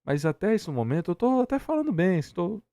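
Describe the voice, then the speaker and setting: A man's voice: high voice, sing-song, fairly quick, mellow, no echo. A man with a Brazilian accent giving casual reaction commentary, often repeating himself for emphasis. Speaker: streamer reacting to a video.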